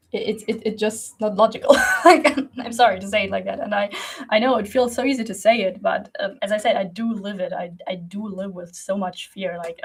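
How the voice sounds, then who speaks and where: A woman's voice: mid-range voice, slightly rough. A young woman from Germany whose first language is Russian, talking casually to camera as a creative coach, rambling with many fillers and restarts and laughing often.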